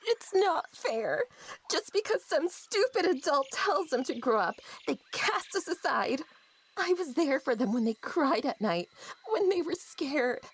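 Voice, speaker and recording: A woman's voice: sits high, sing-song, unhurried, full character voice. A woman voicing a hurt, sulky, childish stuffed toy pig in an audio drama, petulant and indignant. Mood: sad, aggrieved.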